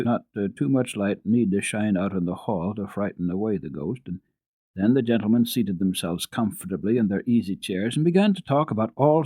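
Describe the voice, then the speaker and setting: A man's voice: mid-range voice, a little mellow, dry recording. A man reading a classic children's story dramatically, as a radio storyteller. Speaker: storyteller, radio program host.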